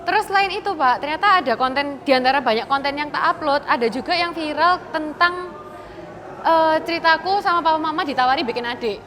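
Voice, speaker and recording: High-voiced young woman, Surabaya accent. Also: fairly quick, sharp tone. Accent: Surabaya Javanese. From Surabaya, East Java, Indonesia. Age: 20s. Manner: chatty storyteller, laughs easily. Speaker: guest, TikTok creator. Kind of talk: casual talk show chat.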